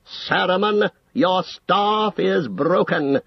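A man's voice: medium pitch, normal speed, husky, no room sound, dull audio. A man voicing a stern, weary old male wizard who speaks with commanding authority in an audiobook story.

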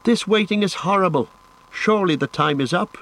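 A man voicing a small, excitable young hobbit in a storybook, with a clear high voice. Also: unhurried. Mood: impatient.

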